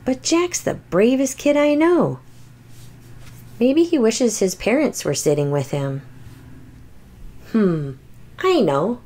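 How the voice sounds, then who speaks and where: A woman voicing an anxious, boastful but loving little toy T-rex in a picture book, with exaggerated, expressive delivery. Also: fairly high pitch, slow, long pauses, heavily acted. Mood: brave, reassuring. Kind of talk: children's picture book read-aloud.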